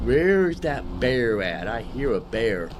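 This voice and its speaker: A man's voice: mid-range voice, very animated, takes it slowly, background noise. A man giving casual gameplay commentary in a folksy, rambling way, explaining things and talking to himself. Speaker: gaming host.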